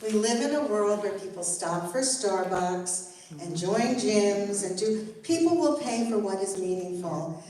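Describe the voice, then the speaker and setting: A woman's voice: medium pitch, animated, a little slow, darkish tone. An older woman rabbi leading a Torah study, earnest and encouraging as she teaches the group and answers questions. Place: synagogue hall. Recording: echoey room, mic far off, faint noise.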